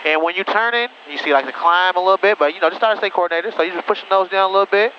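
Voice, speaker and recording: A man's voice: high-pitched, racing, pushed and bright. A man coaching and chatting casually as a pilot over a cockpit headset, encouraging and informal. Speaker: pilot host coaching and interviewing a guest. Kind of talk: casual in-flight instruction and chat. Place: small airplane cockpit in flight. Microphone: aviation headset intercom. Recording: dull lo-fi cockpit radio audio, engine noise.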